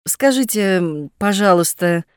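A woman reading short phrase translations aloud for a language lesson in a clear, measured way. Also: medium pitch, lively intonation, no room sound, studio quality. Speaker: phrasebook reader.

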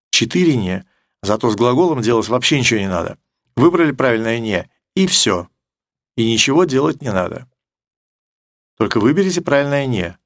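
A Russian-speaking man teaching English grammar, explaining with emphatic repetition and slowing down for English examples in a Russian accent. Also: mid-range voice, dry recording, clean studio sound. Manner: emphatic, repeats key words, slows down for English examples.

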